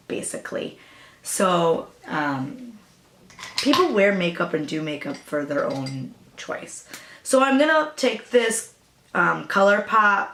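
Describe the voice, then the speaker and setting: A young woman with a raspy voice, chatting casually through a makeup tutorial as a new beauty vlogger. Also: lowish voice, fairly bright tone, reverberant. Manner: chatty, hesitant, with fillers and apologies.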